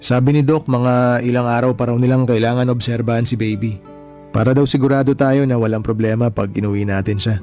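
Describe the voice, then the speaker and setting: An actor playing a modest, hardworking husband with a Filipino accent, talking in a gentle, reassuring radio drama style. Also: male voice, medium pitch, steady tone, fairly quick, soft background music, lightly acted. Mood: concerned, reassuring. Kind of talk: conversational radio drama acting.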